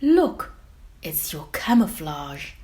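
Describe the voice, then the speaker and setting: A woman voicing a wise, kindly old female turtle in a children's storybook, helpful and unhurried. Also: low-pitched, very animated, dark tone, exaggerated voice. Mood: helpful, surprised.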